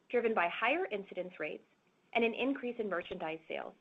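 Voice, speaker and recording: A woman executive reading a scripted financial presentation in a formal, measured, businesslike way. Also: medium pitch, vocal fry. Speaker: corporate finance executive presenting on an earnings call. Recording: phone-like, narrow-band conference call audio.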